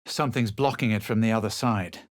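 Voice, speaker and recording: A man voicing a haughty, cool young adventurer hero in a video game, theatrical and measured, with a husky voice. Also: lowish voice, dry recording, clean studio sound, close to an everyday voice. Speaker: video game player character. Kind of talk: scripted game voice lines, dramatic narration and barks.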